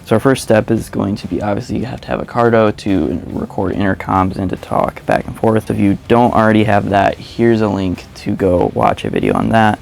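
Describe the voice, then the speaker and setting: A man's voice: on the low side, gravelly, noisy background. A man giving a casual, step-by-step how-to in an informal, plain-spoken way, as a video presenter. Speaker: motovlogger presenting a how-to tutorial. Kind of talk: casual step-by-step instructional narration.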